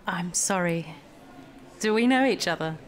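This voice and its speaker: A woman's voice: on the low side, lively intonation, unhurried, a little hiss or hum. Poised, polished young woman. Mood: guarded. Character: polished, evasive young female finance professional. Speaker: actor in an audio drama. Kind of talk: naturalistic audio drama acting.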